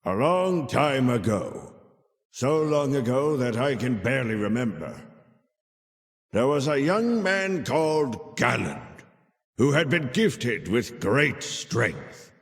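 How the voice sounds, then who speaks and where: An actor playing a kindly older storyteller who tells a child a fairy tale in a theatrical, measured way for a video game. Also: male voice, medium pitch, sing-song, big-room sound. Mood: measured storytelling. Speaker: storyteller narrator. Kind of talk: dramatic fairy-tale narration.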